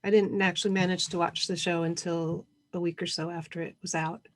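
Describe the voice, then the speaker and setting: A woman co-hosting an online session, introducing things in an informal, slightly halting way. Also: fairly low pitch, level tone. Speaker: co-host presenting an online convention session.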